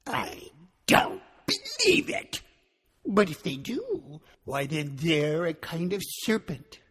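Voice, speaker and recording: A man reading a storybook aloud, voicing a tearful, accusing female pigeon in a shrill, sobbing way. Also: wildly swooping pitch, slow, fairly bright tone, long reverb tail, slight background noise, full character voice. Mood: scornful.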